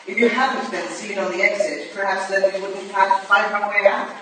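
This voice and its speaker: A woman's voice: medium pitch, steady tone, fairly quick, very noisy, close to an everyday voice. Woman acting stern Victorian lady mentor, echoey hall. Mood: dry, critical. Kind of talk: staged play reading. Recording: distant, very echoey live hall recording.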